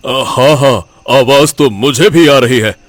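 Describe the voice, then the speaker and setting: An uneasy village man from a cartoon, played by a man. The voice is medium in pitch and hesitant, slow-moving and dry. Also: dry recording, natural voice. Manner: hesitant, repeating words.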